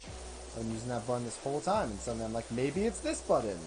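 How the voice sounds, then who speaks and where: A young man casually commenting while playing a video game on a live stream, rambling, swearing and thinking out loud. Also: medium pitch, sing-song, quick pace, music in the background, rough recording.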